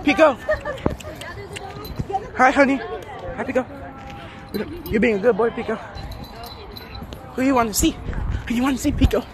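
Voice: mid-range voice, a little slow, pauses often. A vlogger chatting off the cuff in a slangy, musing way and talking to dogs, recorded on a handheld phone outdoors. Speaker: vlogger filming own dog outing. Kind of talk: casual off-the-cuff vlog chat. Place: outdoor dog park. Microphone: handheld phone behind the camera. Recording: noisy open-air park with bystander chatter.

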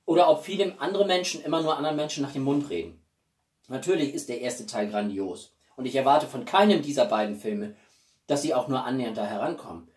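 A man in his forties chatting casually to viewers as a video host, with an expressive, emphatic delivery and dramatic pauses. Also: medium pitch. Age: 40s. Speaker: YouTube host. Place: small living room indoors. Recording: roomy echo, distant mic.

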